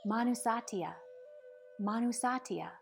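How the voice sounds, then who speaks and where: A woman reading a children's picture book aloud in a measured, dramatic storytelling manner. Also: fairly high pitch, vocal fry, soft background music. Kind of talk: expressive scripted storybook narration.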